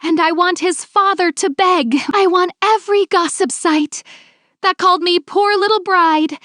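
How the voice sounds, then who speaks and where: A woman voicing a proud, bitter young jilted bride with a sharp, defiant manner in an audio romance. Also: very high-pitched, unhurried, no echo, clean studio sound, pitched above the performer's own voice, heavily acted. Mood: bitter, vengeful.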